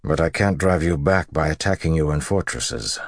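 A male narrator voices a veteran army commander, stoic and resolute. The voice is very deep and gravelly, with measured, terse lines in an audiobook style. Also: dry recording. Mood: resolved. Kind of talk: audiobook character dialogue.

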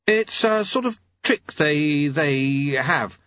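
A man's voice: a little high, expressive, slow pace, a bit bright, lo-fi sound, voice pushed up. A man voicing a diffident, earnest young clockmaker, anxious and hesitant in his speech, in an audiobook. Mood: flustered. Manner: hesitant, stammering.

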